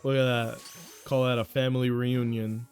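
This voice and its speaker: A young man with a naturally loud voice chats his way through a game stream. He rambles, swears freely and pokes fun at himself, in an ordinary mid-range pitch. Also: little pitch movement, moderate pace, soft background music. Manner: rambling, profane, self-mocking. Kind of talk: casual live-stream chat while gaming.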